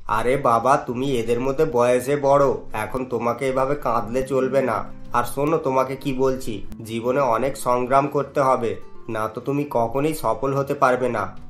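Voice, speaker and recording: Man playing a stern, kindly cartoon gentleman. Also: mid-range voice, fairly even intonation, moderate pace, faint music behind, natural voice. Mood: advising. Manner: questioning, lecturing. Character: well-off gentleman, stern but kindly adviser to children. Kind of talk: Bengali cartoon dialogue.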